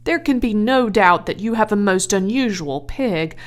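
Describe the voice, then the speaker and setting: A woman voicing an earnest, authoritative male country minister in a storybook, with a formal, measured delivery. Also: mid-range voice, animated, natural voice. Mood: grave, earnest.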